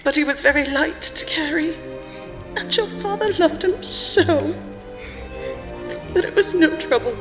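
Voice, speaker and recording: A woman plays a poor Victorian mother in a radio drama, gentle and holding back grief. Her voice is extremely high and tender. It carries through a reverberant hall with music clearly behind it. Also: a little slow, long pauses. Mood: wistful, tender. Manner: tender, sorrowful, restrained. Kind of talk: live radio-play acting of Victorian story.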